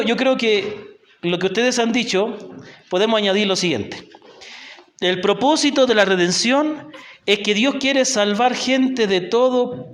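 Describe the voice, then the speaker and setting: High-voiced Chilean man teaching in an echoey church. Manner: patient, asks the group questions, often checks with "¿ya?". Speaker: missionary teacher. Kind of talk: Bible class teaching. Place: church hall in a rural community.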